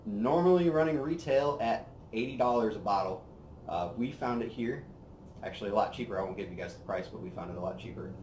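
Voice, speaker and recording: A young man hosting a whiskey review, chatting casually on an outdoor patio, relaxed and rambling with plenty of fillers. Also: fairly low pitch, fairly quick, slight background noise. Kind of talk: casual tasting chat. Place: outdoor patio by a brick wall. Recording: roomy outdoor sound with faint ambience.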